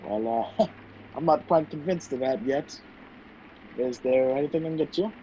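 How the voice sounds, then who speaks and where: Slightly muffled and noisy, a man plays a gentlemanly hero of a radio thriller. He is polite and earnest, with a hesitant, old-fashioned delivery. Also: on the high side, normal speed, natural voice. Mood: polite, easygoing. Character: gentlemanly male hero caught in a spy intrigue. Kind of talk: old-time radio play acting. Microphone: video call. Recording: dull, noisy video call audio.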